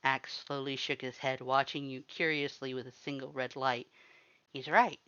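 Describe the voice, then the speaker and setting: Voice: mid-range voice, little pitch movement, normal speed, sharp tone, smooth, muffled recording. A narrator reading scripted fiction in a dramatic storytelling style.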